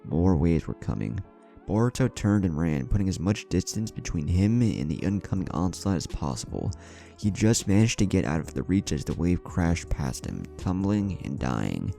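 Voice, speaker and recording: A man's voice: deep voice, sing-song, normal speed, vocal fry, mellow. A man reading an adventure story as a dramatic scripted narration. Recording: background music under the voice. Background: music.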